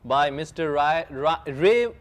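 A man's voice: fairly high pitch, animated, takes it slowly. A man with an Indian accent hosting a casual panel chat, joking, laughing often and restarting his sentences. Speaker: host.

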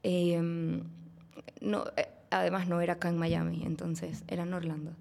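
Woman, lowish gravelly voice, hesitant, lots of ums. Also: flat delivery, normal speed, a little mellow. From Venezuela. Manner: hesitant, frequent fillers. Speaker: guest. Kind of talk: casual personal testimony.

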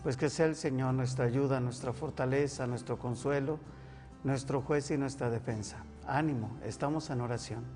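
Calm co-host reciting a psalm. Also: male voice, mid-range voice, moderate pace, big-room sound, faint music behind. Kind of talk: live call-in broadcast with prayer.

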